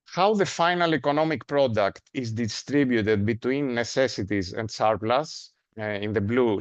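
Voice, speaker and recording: A man's voice: medium pitch, no echo. Greek accent guy lecturing at a seminar, lots of uhs, dry muffled mic sound. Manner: measured, with frequent "uh" fillers and rhetorical "no?".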